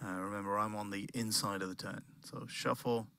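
A man acting as a ski guide, calmly giving repetitive step-by-step cues. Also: lowish voice, a little fast, clear, resonant tone. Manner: calm, repetitive, directive cues. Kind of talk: step-by-step spoken guiding commentary.

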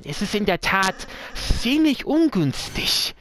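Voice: medium pitch, sing-song, slow pace, a bit bright, a little hiss or hum, pitched above the performer's own voice. A man voicing a small, mischievous imp villain in a game, boastful yet cowardly and theatrical. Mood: ominous mischief.

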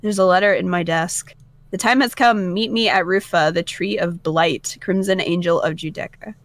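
A woman co-hosting a gaming video, reading visual novel narration with playful, expressive asides. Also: fairly low pitch, moderate pace, dry recording.

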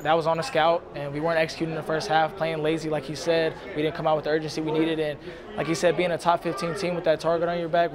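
Quick-talking young male player, crowd behind. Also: on the high side. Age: young adult. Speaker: college basketball player. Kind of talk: post-game interview. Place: indoor arena interview backdrop. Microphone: lapel mic.

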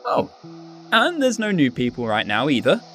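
Dry young British student, very expressive, played by a man. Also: fairly high pitch, normal speed, soft background music, exaggerated voice. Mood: reflective. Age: young adult. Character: studious, reserved, wry young British exchange student. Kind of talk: radio drama acting.

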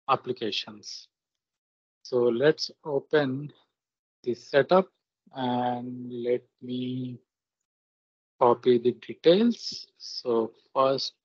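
A man walks through a software demo at an unhurried, halting pace. His voice is somewhat husky, and he gives short instructions one step at a time. The recording is dry and a little dull, like a screen capture. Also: medium pitch, no room sound. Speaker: software tutorial presenter. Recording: muffled lo-fi screen-recording audio.

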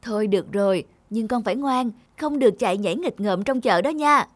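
A woman's voice: a little high, animated, fairly quick. A woman voicing a poor, kind and patient mother who sells at a market in a cartoon. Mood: gentle, firm. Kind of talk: cartoon voice acting. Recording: music behind the voice.

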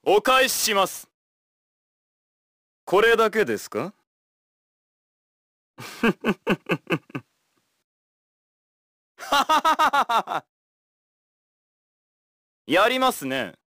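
A man's voice: sits high, sing-song, drawn-out words, long pauses, sharp tone, dry recording, clean studio sound, exaggerated voice. An actor playing a polite, coolly confident young male villain in video game battle clips, taunting with courteous words.

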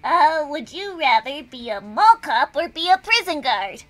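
Casting: female actor as cartoon kid bee, boy, high and very animated, playful gaming chat, music underneath. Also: unhurried, heavily acted. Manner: childlike, expressive. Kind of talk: casual gaming chat in character. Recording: music behind the voice.

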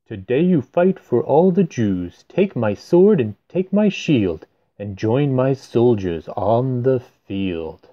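A man's voice: mid-range voice, animated, takes it slowly, lo-fi sound. A man voicing a solemn, commanding king who declaims in rhyme from a children's storybook. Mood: solemn blessing. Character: solemn adult king of ancient Israel.

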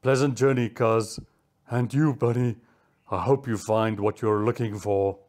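A woman voicing a stern, commanding male cheetah with a calm, menacing manner in a children's story. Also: mid-range voice, measured pace, voice pushed down, lightly acted. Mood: calm, assured. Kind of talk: children's animal story character voice.

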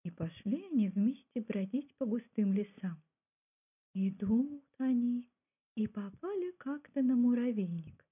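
A woman with a husky voice giving a scripted storytelling narration. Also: animated, unhurried. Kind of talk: scripted folk tale and documentary narration. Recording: muffled, low-fidelity phone-like sound.

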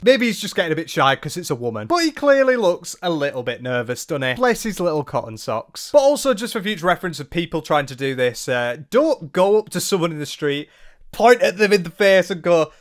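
Young guy mocking stuff into a handheld mic, bit high voice, sarcastic. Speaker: commentary YouTuber. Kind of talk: casual reaction commentary. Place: small room indoors, at a desk. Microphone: handheld dynamic mic close up.

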